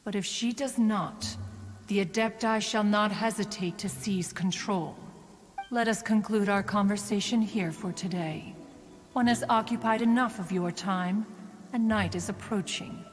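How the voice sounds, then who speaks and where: A woman's voice: mid-range voice, unhurried, lightly acted. A woman voicing a proud, ancient crane immortal in a video game, speaking in a lofty, formal, archaic manner. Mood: grave, imperious. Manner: haughty, formal, archaic, calls herself "one". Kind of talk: video game character acting. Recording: long reverberant echo on the voice.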